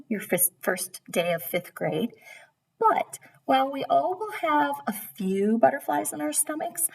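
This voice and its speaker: A woman's voice: on the high side, creaky, breathy edge, poor audio. A woman reading a children's storybook aloud as a teacher, expressively and addressing young listeners directly.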